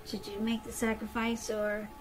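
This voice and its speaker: A woman's voice: on the high side, monotone, moderate pace. A woman giving a conversational tarot reading straight to the listener, repeating phrases for emphasis. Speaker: tarot reader.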